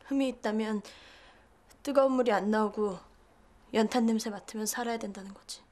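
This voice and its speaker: High-voiced sarcastic schoolgirl played by an actress. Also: fairly quick, close to an everyday voice. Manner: sarcastic, sharp-tongued. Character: sarcastic, resentful city schoolgirl. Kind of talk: children's TV drama acting.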